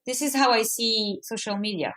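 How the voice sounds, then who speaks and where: A woman from France with a mixed French accent, talking expressively in halting non-native English as an interview guest. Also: on the high side, bright, forceful tone. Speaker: podcast guest, movement artist. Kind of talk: casual conversational interview.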